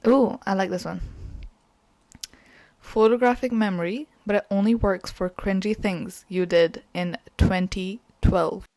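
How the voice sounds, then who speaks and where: A young woman co-hosting a podcast, talking casually and giggling between thoughts. Her first language is Urdu, and her pitch sits in an ordinary middle range. The sound is dry and close. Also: unhurried, no echo. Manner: chatty, giggly, animated. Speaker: podcast co-host. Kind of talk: casual chat.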